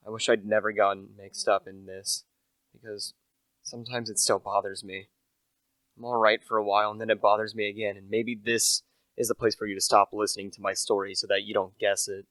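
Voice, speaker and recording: A man's voice: fairly low pitch, fairly bright tone, no echo, lightly acted, rough recording. A young man acting as a humble, anxious salesman telling a murder tale in an amateur radio play. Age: teenager to young adult. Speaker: actor, narrator.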